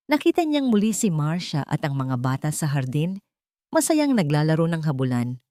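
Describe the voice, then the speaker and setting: A woman storyteller with a Filipino accent narrates a scripted drama. Her voice sits on the low side for a woman. The sound is dry and close, with very little room. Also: dark tone, no room sound.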